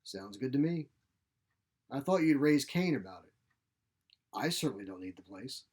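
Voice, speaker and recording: A man voicing a mild, conciliatory professor son in his sixties, measured, in a short story reading. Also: mid-range voice, expressive, a little mellow, breathy edge. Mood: mild, evasive. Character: mild, spineless 62-year-old professor son.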